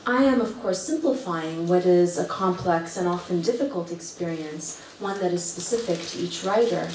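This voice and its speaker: A woman from Kuwait, an author reading a prepared talk at a library event in a measured, articulate way. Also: fairly low pitch, darkish tone, a little hiss or hum. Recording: echoey room, heard from far off.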